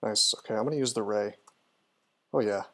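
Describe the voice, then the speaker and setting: A man's voice: on the low side, fairly quick, pushed and bright. A man commentating casually on a video game as he streams, chattily thinking aloud through his moves. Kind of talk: casual live gameplay commentary, talking through moves and answering chat.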